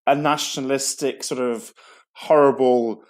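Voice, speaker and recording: A man's voice: mid-range voice, steady tone, unhurried. A middle-aged man, a school leader, giving an enthusiastic, digressive online assembly talk to students.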